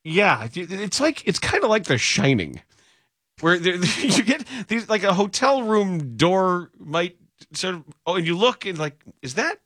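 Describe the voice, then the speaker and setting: A man's voice: high-pitched, sing-song, unhurried, fairly bright tone, no room sound, clean studio sound. A man hosting a sports radio show, bantering casually, laughing at his own stories and trailing off mid-thought. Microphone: studio microphone.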